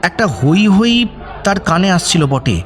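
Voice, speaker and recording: A man's voice: high-pitched, very animated, slow. A man narrating a suspense story as the storyteller of an audio drama, reading scripted prose dramatically. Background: faint music.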